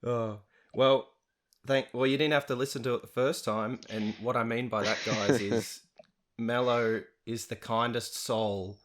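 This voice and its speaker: A man's voice: medium pitch, fairly even intonation, unhurried. A young man hosting a podcast, chatting casually in a self-deprecating way and laughing often. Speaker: podcast host. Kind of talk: casual interview chat.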